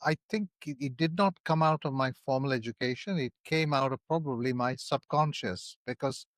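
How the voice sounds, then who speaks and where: A man's voice: medium pitch, no room sound. Man, dry close voice. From India. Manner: measured, with some stammering repeats. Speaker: guest. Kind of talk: podcast interview.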